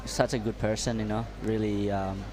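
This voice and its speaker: A man co-commentating a surf contest in halting, friendly English, drawing on his own surfing. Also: on the low side, level tone, music in the background. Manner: halting, friendly, laughs easily. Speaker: co-commentator and surfer. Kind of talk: live sports commentary. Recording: noisy outdoor ambience with traffic.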